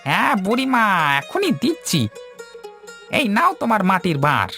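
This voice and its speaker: A man's voice: very high voice, wildly swooping pitch, normal speed, creaky, pushed and bright, faint music behind, heavily acted. A man playing an eager, persuasive village potter in a fairy-tale cartoon, with a folksy manner.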